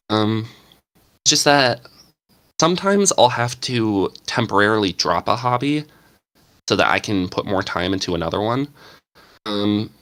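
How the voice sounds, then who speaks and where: A man's voice: fairly low pitch, fairly bright tone, clear, resonant tone. A man chatting casually as a podcast guest, joking and rambling with plenty of fillers. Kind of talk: casual group chat.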